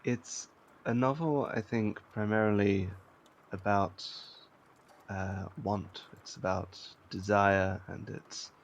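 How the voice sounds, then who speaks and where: Slow, gravelly, low-voiced man. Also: steady tone, lo-fi sound, a little hiss or hum. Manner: deliberate, emphatic, with pauses between phrases. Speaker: interview guest, actor. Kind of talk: conversational, thoughtful panel discussion.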